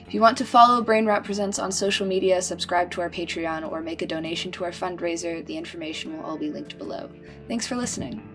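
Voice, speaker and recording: A woman's voice: fairly low pitch, fairly even intonation, fast, music in the background. A woman announcer giving a scripted read of closing credits.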